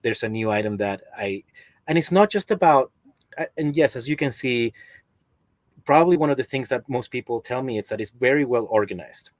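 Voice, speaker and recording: A man's voice: medium pitch, animated, fairly quick, no echo. A middle-aged man with a Peruvian accent, chatting casually as a podcast guest about his hobby. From Peru. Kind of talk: casual chat about collecting. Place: basement room at home in a video call.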